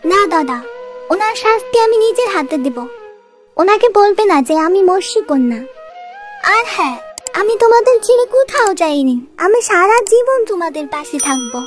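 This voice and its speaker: A woman's voice: very high-pitched, very animated, normal speed, faint music behind. A woman playing a sweet, innocent little village girl in a children's cartoon, earnest and childlike. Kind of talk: Bengali children's cartoon voice acting.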